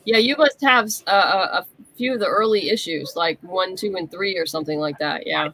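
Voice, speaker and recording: A woman's voice: on the low side, a little fast, a bit bright, rough recording. A woman being interviewed, chatting casually and explaining things with plenty of "you know". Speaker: interview guest, comic writer.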